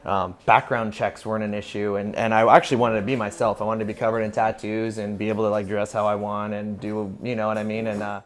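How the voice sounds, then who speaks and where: A man's voice: fairly low pitch, little pitch movement, quick pace, few pauses. An American man in his thirties speaking candidly and matter-of-factly in a casual documentary interview. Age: 30s. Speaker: barber. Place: inside a barbershop.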